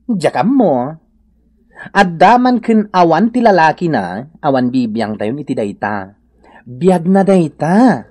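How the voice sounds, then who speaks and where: Camp easygoing hairdresser, unhurried, swoopy expressive talk, northern philippine accent, radio comedy. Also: male voice, fairly high pitch, full character voice. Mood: cautioning. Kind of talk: comedy radio drama acting.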